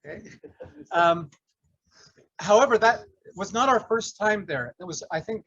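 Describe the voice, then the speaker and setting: Older man, earnest, somewhat high voice. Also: sharp tone, no echo, highs cut off. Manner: earnest, measured, with many fillers. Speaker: retired English professor, class teacher. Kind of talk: casual class introduction.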